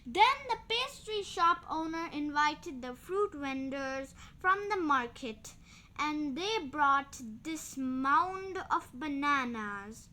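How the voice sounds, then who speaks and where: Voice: high-pitched, lively intonation, slow pace, sharp tone, heavily acted, rough recording. A child reader voicing a kindly, cheerful sheikh from a storybook, explaining things at length. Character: kindly, generous religious elder man, cheerful storyteller.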